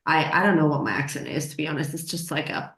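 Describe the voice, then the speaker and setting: Low-voiced woman, mixed accent, echoey room. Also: expressive, quick pace, fairly bright tone. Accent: mixed American and Australian. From Mexico. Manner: chatty, laughs often, many fillers. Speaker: author guest. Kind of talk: casual chat. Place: home, in London. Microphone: headphones on.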